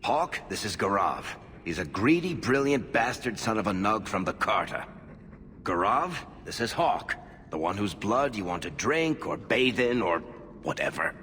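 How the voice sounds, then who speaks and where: An actor playing a roguish dwarf adventurer in a video game, sardonic and wisecracking. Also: male voice, on the low side, very animated, measured pace, pushed and bright, in a large hall, a little hiss or hum, full character voice. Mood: disbelieving, mocking. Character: wry, roguish male dwarf adventurer and storyteller. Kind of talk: conversational video game acting.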